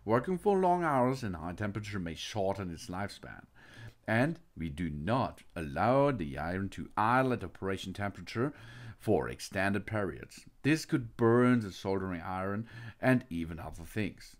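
A man's voice: fairly low pitch, very animated, normal speed. A man narrating an unboxing and walkthrough of a tool kit, with non-native phrasing and hesitant fillers. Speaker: product reviewer.

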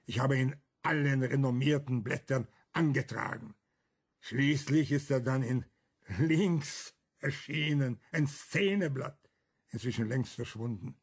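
Older male survivor, radio drama, slow deliberate pace, gravelly, bitter, emphatic, principled. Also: medium pitch. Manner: emphatic, argumentative, rhetorical questions. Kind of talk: German radio crime drama acting.

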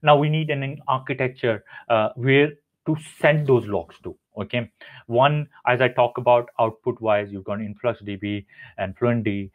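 A man's voice: mid-range voice, a little slow. A man presenting a technical webinar over slides, explaining step by step with many fillers and restarts. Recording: tinny phone-like audio.

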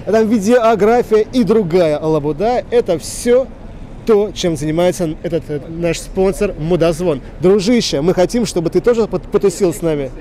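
A man's voice: high-pitched, lively intonation, moderate pace, warm tone. A man in his thirties hosting a casual street quiz, joking and mock-serious, rambling with crude slang, into a handheld microphone outdoors. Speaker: street quiz show host. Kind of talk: casual comic street interview. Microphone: handheld reporter microphone.

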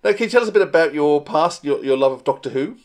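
A man's voice: fairly high pitch, animated, fast, a little mellow. A middle-aged man hosting a friendly podcast interview over a video call.

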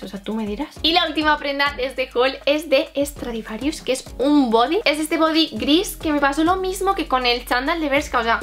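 Young woman, Castilian Spanish accent, very quick pace, informal chatty vlog delivery, music behind. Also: a little high, a bit bright. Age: 20s. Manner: chatty, informal, rambling with asides. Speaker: vlogger. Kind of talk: casual chatty clothing haul to camera. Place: small bedroom, indoors. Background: background music.

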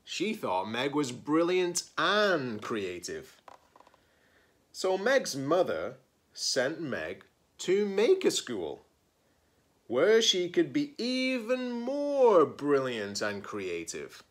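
Man reading aloud with animated pitch. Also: very slow. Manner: expressive storyteller, stressing key words. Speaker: teacher-librarian. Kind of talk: picture-book read-aloud for children with casual asides.

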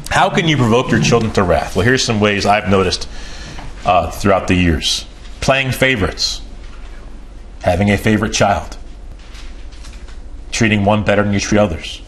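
A man's voice: fairly bright tone, slight background noise. A man in his forties preaching a sermon in a small room, emphatic and repeating key phrases for weight. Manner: emphatic, repeats key phrases, pitch rises and falls sharply.

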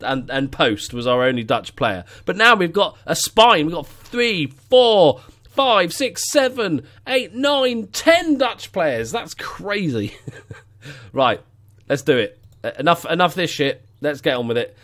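Let's-play host, 30s, stuffy with a cold, a bit high, sweary and rambling at a home desk mic. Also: male voice, expressive, unhurried, a bit bright. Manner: sweary, self-mocking, rambling. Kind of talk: casual gameplay commentary. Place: living room at home. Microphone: studio mic on stand, headphones.

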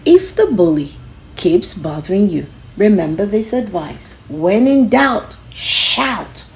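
A woman's voice: mid-range voice, sing-song, slow pace, poor audio. A woman reading a children's self-help book aloud in an instructive way, stressing key phrases.

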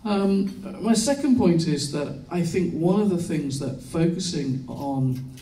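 A man's voice: fairly high pitch, lively intonation, slow pace, dark tone, roomy sound, recorded from a distance, poor audio. A British man from the UK speaking on a panel in a seminar room, hesitant with many restarts. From United Kingdom. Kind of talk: panel discussion remarks. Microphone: handheld mic, far camera.

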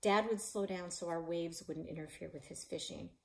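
A woman in her forties, a librarian and author, reading her children's novel aloud in a living room, voicing the characters with shouts and whispers. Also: on the low side, normal speed, creaky. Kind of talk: children's book read-aloud. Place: living room in front of a stone fireplace.

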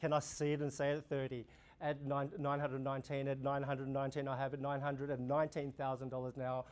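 A young man with an Australian accent, an auctioneer running a practice auction, calling bids in a steady repeating rhythm. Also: mid-range voice, brisk. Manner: rhythmic, repetitive bid calling, gestures while talking. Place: indoor room before a projection screen.

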